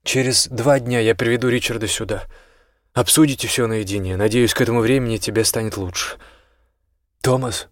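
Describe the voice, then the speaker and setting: A man's voice: mid-range voice, fairly even intonation, a little fast, no echo, studio quality, lightly acted. A man voicing a firm, frank marquis in an audiobook, speaking sternly and insistently as a concerned friend.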